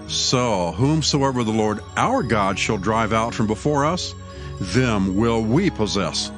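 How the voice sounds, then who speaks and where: Music plays clearly behind a man acting as a proud ancient warrior chief in a Bible audio drama. He declaims archaic scripture with solemn, indignant earnestness, in a somewhat low-set male voice. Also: close to an everyday voice.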